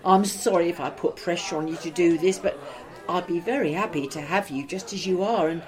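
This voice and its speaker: A woman's voice: on the low side, a little fast, a crowd in the background, lightly acted. A woman playing a fond, teasing older barmaid in a comedy drama. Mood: tender.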